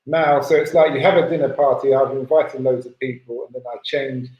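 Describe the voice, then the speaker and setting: A man's voice: mid-range voice, fairly even intonation, a little fast, slight background noise. A man giving his opinion as a panelist in a podcast discussion. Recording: echoey room, dull lo-fi audio.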